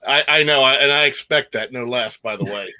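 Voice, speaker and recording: A man's voice: medium pitch, brisk, sharp tone. A man chatting casually as a guest co-host, putting questions to an interviewee.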